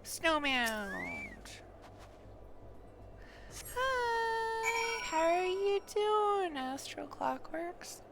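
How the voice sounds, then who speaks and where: A woman's voice: very high voice, wildly swooping pitch, drawn-out words, long pauses, music in the background. A woman live streaming a game, chatting casually and murmuring to herself as she plays. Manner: chatty, murmuring to self while playing.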